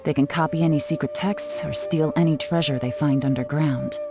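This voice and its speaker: A woman's voice: deep voice, expressive, moderate pace, music in the background. A woman playing a cool, shrewd female intelligence operative in a video game, composed, confident and knowing. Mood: analytical. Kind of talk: video game character acting.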